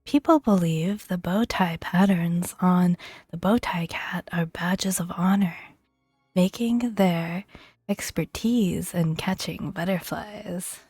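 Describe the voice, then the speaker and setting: A woman speaking softly and gently in a breathy near-whisper, narrating a video game as ASMR. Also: lowish voice, slow pace, no echo, clean studio sound. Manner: gentle, hushed delivery. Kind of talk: soft-spoken ASMR video game commentary. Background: soft background music.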